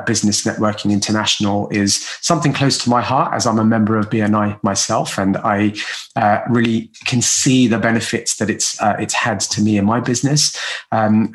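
A man hosting a podcast, introducing and welcoming guests with halting, searching phrasing. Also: lowish voice. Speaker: podcast host.

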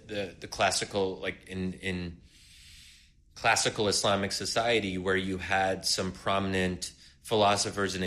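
Deep, bright-toned man musing aloud. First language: English. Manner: thinking aloud with many fillers and restarts; laughs lightly while making points. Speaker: podcast co-host. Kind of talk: casual intellectual discussion.

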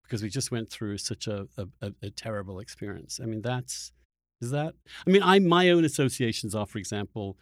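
A man hosting a conversational interview, thinking aloud in a halting, searching way and often repeating words as he goes. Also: fairly low pitch, no room sound, clean studio sound. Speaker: podcast host interviewer.

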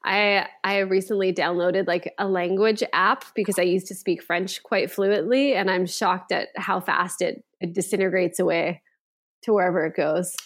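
A woman hosting a podcast interview, chatty and quick to laugh. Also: on the low side, fairly even intonation. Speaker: podcast host. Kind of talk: casual interview chat.

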